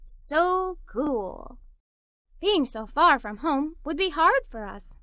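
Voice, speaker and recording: Bright, high-pitched woman reading a children's story. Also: no echo, clean studio sound. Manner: expressive, wide-eyed, playful delivery. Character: cheerful, imaginative little girl adventurer. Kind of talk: animated read-aloud storytelling in a child's first person.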